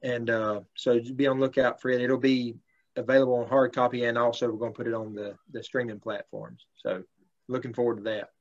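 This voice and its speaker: A man, speaking as a guest in a relaxed, polite manner. He leans on lots of ums and uhs and keeps a medium pitch. The sound is a bit dull and lo-fi. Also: a little fast. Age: 30s. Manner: relaxed, polite, many fillers. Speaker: country singer guest. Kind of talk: casual interview chat.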